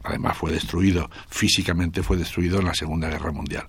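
A man's voice: low-pitched, fairly even intonation, brisk, breathy edge, no echo. An older man from Spain, a guest author speaking in a radio interview, thoughtful and hesitant with many restarts.

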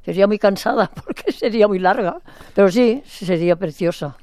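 A woman's voice: mid-range voice, a little fast, darkish tone, dry recording. An older woman from Spain giving a chatty, playful interview, rambling and restarting her sentences often. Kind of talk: casual phone interview.

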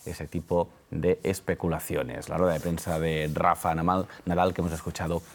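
A man presenting the news as anchor, with a steady, smooth delivery. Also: sits low, moderate pace.

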